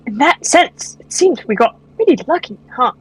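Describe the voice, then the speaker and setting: A woman's voice: high-pitched, expressive, moderate pace, low-quality recording. A woman voicing an eager, earnest young boy apprentice with an inquisitive manner in a video game playthrough. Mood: curious. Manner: bright-eyed, earnest, inquisitive.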